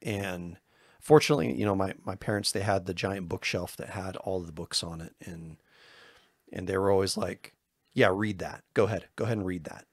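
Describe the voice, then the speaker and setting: A man with a deep, husky voice co-hosts a casual podcast, wandering through his thoughts and cracking jokes at his own expense. The sound is dry and close, with no room around him. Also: steady tone, normal speed, dry recording. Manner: loose and rambling, with self-deprecating jokes. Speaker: podcast co-host.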